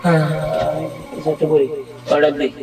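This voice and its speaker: A man's voice: a little high, little pitch movement, a little fast, a little mellow, pitched below the performer's own voice, lightly acted. A man playing a young man who has been turned into a monster and pleads for help in a panicky way. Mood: panicked. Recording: echoey, from far off the mic.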